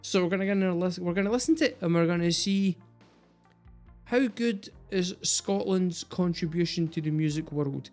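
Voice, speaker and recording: Chatty Scottish man, slightly high voice. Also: normal speed, a little mellow, faint music behind. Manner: chatty, sweary and jokey. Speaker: music reaction host. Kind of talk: casual chat to camera.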